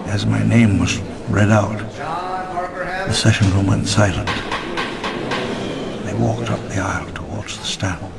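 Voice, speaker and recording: An actor playing a wistful elderly park founder, narrating his memoirs in a measured, theatrical, ruminative way for a game audio log. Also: fairly low pitch, steady tone, over a noisy crowd. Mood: rueful, somber. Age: older. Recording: long reverb under clear music.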